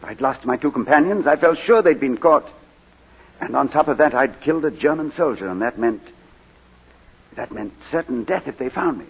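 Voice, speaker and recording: An actor playing an anguished British gentleman and war veteran giving halting, stammering testimony in a 1940s radio drama. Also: mid-range voice, expressive, slightly rough. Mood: haunted. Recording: dull, lo-fi 1940s radio recording.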